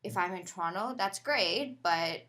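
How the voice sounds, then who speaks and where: A woman from Pakistan, a podcast guest, talking casually and thoughtfully with many pauses, fillers and restarts. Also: lowish voice, bright, forceful tone. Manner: thoughtful, halting, lots of "like" and restarts. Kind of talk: casual conversational interview.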